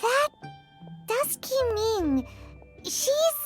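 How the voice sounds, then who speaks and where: Very high squeaky little fairy. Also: female voice, sing-song, very slow, over music, heavily acted. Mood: urgent, perky. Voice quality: squeaky, childlike. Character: Paimon, tiny childlike female fairy companion, chatty and cheeky. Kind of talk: video game voice acting.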